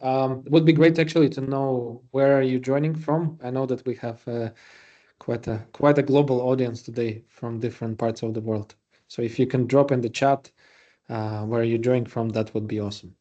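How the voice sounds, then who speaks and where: A man from Latvia with a Latvian accent, presenting a webinar in an informal way with frequent pauses. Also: mid-range voice, steady tone, dark tone, highs cut off. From Riga, Latvia. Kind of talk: semi-scripted webinar presentation.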